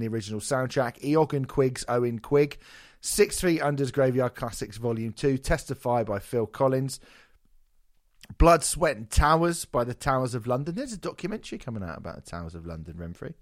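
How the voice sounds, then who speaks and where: A man hosting a casual music podcast, jokey, sweary and self-deprecating, his voice a little hoarse from a sore throat. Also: medium pitch, animated, fairly quick, no room sound, studio quality. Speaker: podcast host.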